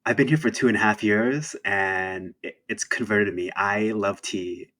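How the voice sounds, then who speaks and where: A young man from Thailand chats about games as an interview guest. He has a low voice and talks hesitantly, restarting his sentences and laughing easily. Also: unhurried, sharp tone. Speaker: indie game developer, guest. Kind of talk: casual interview chat.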